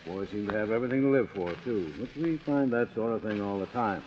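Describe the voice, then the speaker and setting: A man acts a brisk American police inspector in an old radio drama. The voice is low, blunt and conversational with easy authority, on a dull recording with faint noise. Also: natural voice. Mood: brisk, assured. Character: brisk, matter-of-fact male police chief inspector. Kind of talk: 1940s radio acting.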